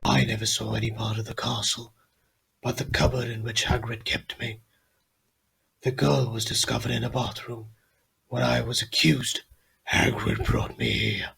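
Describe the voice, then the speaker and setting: A man playing an ancient giant spider in an audio drama, grave and menacing, with a gravelly, creaky voice. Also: lowish voice, expressive, moderate pace, a bit bright, exaggerated voice, low-quality recording. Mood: grave, guarded. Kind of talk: fan audio drama acting.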